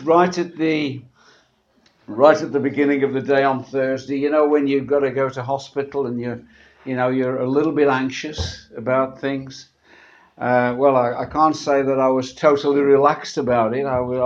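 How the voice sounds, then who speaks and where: Slightly husky male preacher, dull recording. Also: mid-range voice, fairly even intonation. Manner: halting, conversational, often repeating words. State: sore throat after a medical procedure. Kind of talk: church sermon with scripture reading. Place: church, speaking to a congregation. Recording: dull, muffled church recording.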